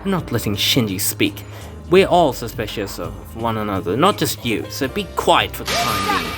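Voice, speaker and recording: Man voicing a game playthrough over loud music. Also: lowish voice, very animated, normal speed. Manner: reads game text aloud and voices characters, with chatty asides and stumbles. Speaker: let's play fan dubber. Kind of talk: casual game playthrough with voiced dialogue.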